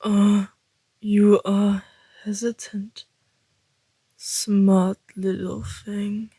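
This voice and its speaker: A woman voicing a flirty, teasing male vampire in an intimate ASMR roleplay, speaking in a deliberate half-whisper. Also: medium pitch, little pitch movement, mellow, slightly rough, dry recording. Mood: inviting, flirty.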